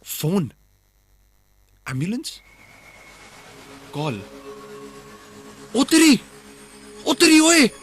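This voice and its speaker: A man's voice: extremely high pitch, wildly swooping pitch, extremely slow, long pauses, a bit bright, slight background noise, heavily acted. A man playing a wily, chatty investigator in a radio drama, chummy and wheedling, with stammering hesitations. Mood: urgent. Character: wily chatty young male investigator, playful flattering charmer.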